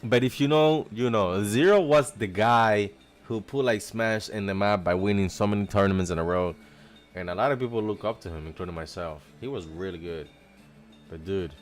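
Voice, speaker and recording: A man's voice: lowish voice, moderate pace. A man from the Dominican Republic chatting casually on a live stream, thanking viewers in a slangy, laid-back way. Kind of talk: casual live chat reacting to a video.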